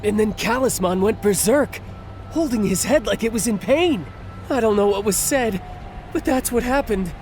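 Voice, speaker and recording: An actress playing a rattled, gossipy monster spectator in a video game, recounting things conversationally. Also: female voice, mid-range voice, animated, normal speed, darkish tone, soft background music, close to an everyday voice. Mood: puzzled. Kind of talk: video game cutscene acting.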